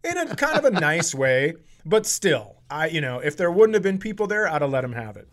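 Higher-voiced man telling comic stories. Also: brisk. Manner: animated, profane, acts out quotes in his anecdotes. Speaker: podcast host and comedian. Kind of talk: casual comedic storytelling.

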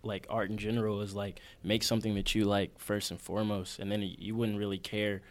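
A man's voice: on the low side, flat delivery, fairly quick. A man chatting casually as an interviewer, in a laid-back, affirming manner.

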